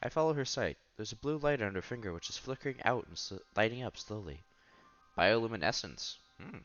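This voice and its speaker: A man's voice: fairly low pitch, animated, brisk, a bit bright, muffled recording, soft background music. A man reading visual novel narration aloud as a streamer, expressively, with casual asides and exclamations.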